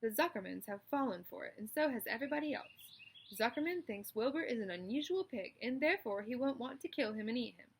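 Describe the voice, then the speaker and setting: A bossy, quick-witted female spider from a children's story, as a woman reader puts her on. She speaks in a crisp, exacting way with dry scorn, taking charge of every creature around her. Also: on the high side, expressive, exaggerated voice. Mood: brisk, confident. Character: brisk, bossy, clever female spider running a meeting. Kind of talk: children's storybook read-aloud.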